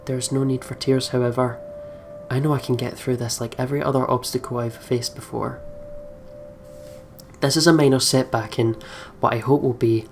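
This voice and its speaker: A woman's voice: a bass voice, fairly even intonation, normal speed, a little mellow. A woman hosting a let's play, reading the game's narration dramatically and expressively with candid, profane asides. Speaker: let's play video host. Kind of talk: voiceover reading of game narration with candid reactions. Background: game music.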